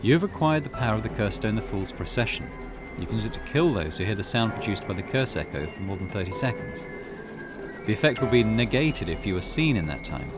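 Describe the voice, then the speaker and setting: Low-voiced man reading a game aloud. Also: very animated, brisk. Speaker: gaming channel host. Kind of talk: let's play, reading game text aloud. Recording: music behind the voice. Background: game music.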